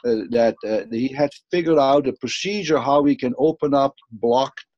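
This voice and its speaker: A man's voice: medium pitch, normal speed, darkish tone, dry recording. A man in his sixties from Switzerland, a cardiologist talking through slides on a video call with many fillers and restarts. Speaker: interventional cardiologist. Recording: dull lo-fi video call audio.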